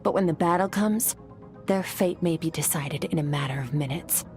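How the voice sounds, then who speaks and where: An actress voicing a stern female narrator speaking gravely about warriors. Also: deep voice, a little mellow, faint music behind, lightly acted. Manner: grave, measured.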